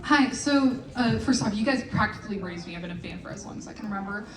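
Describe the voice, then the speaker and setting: A woman's voice: mid-range voice, animated, fast talker, a crowd in the background. A woman in the audience asking an earnest, eager question. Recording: roomy and distant with noise.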